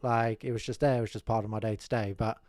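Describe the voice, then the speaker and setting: Modest South African man, low dry voice. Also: steady tone, fast, a little mellow, no echo. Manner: modest, rambling with many restarts. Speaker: podcast guest. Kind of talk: casual interview chat. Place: small studio room with curtains. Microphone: desk mic on boom arm, headphones.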